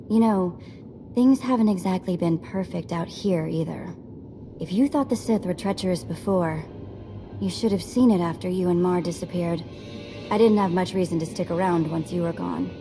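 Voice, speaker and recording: A woman's voice: on the low side, normal speed, natural voice. An actress playing a spunky, sarcastic young female rogue in a video game, with a teasing, informal way of talking. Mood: teasing, casual. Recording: music behind the voice.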